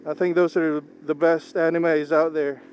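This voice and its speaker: A young man whose first language is Tagalog chats while driving, in a fairly high, unvarying voice. He talks slowly and unhurriedly, pausing as he looks for words. A faint car engine hums under his talk. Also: flat delivery, a little mellow. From Philippines. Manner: slow, unhurried, halting with pauses. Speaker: vlogger. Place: inside a moving car. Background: car engine and road noise.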